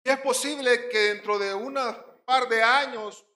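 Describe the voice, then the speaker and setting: A male pastor with a Puerto Rican accent preaching a sermon, swinging between loud stressed words and quiet drops. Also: very high-pitched, measured pace, echoey room.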